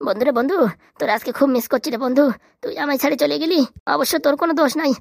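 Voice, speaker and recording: A man acts out a troubled village husband in a comedy cartoon, full of regret. The voice is high and races along in a dry recording. He grumbles, rambles and scolds himself like a drinker with too many worries. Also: very fast, gravelly, no room sound. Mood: remorseful, self-pitying. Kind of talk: comedy cartoon dialect acting.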